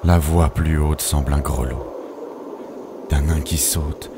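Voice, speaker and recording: A man gives a solemn, measured and theatrical recitation of dramatic poetry. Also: a bass voice, fairly even intonation, heavy background noise. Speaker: poetry reader.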